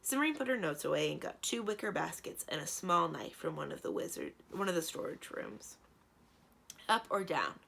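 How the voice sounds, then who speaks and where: A woman reading a children's story aloud in an expressive read-aloud style. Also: fairly low pitch, fairly quick, creaky, few pauses. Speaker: library read-aloud reader.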